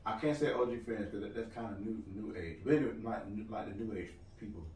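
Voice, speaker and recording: Loud joking man, roomy distant sound. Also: on the low side, fast, slight background noise. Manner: loud-voiced, joking and rambling. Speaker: podcast co-host. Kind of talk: casual podcast chat. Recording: roomy room, mic at a distance.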